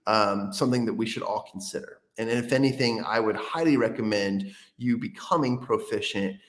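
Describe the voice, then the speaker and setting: A man hosting an interview, talking in rambling, halting sentences with many restarts. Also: fairly low pitch, echoey room. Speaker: podcast host. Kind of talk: casual interview.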